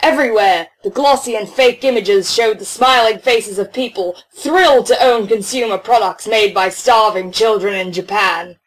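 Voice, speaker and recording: A woman's voice: mid-range voice, normal speed, hardly any pauses, low-quality recording. A woman reading scripted first-person story narration as the narrator.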